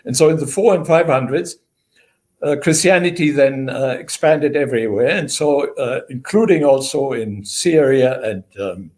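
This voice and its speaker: Older German-accented man, measured. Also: medium pitch, darkish tone. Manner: measured, many "uh" fillers. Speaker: guest historian. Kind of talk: interview answers, lecturing.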